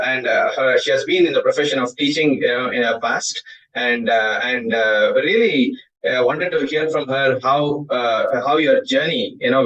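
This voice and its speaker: A man's voice: medium pitch, a bit bright, recorded from a distance, low-quality recording. Hesitant man interviewer, full of fillers. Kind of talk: casual interview on a call.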